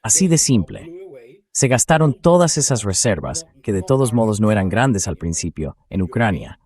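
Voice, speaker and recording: A synthetic male voice with a fairly low pitch, dubbed over an interview. He speaks as a guest commentator, blunt and dismissive, with a sardonic edge to his political and military analysis. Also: sing-song, normal speed, a little mellow. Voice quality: synthetic AI dubbing voice.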